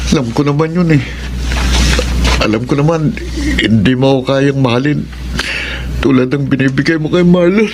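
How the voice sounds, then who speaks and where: A man's voice: medium pitch, normal speed, pauses often, lightly acted. Man acting a plaintive lovelorn young man, noisy background. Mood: wounded, plaintive. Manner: plaintive, hesitant. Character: lovelorn young male friend, wounded, jealous, devoted. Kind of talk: Filipino radio drama acting.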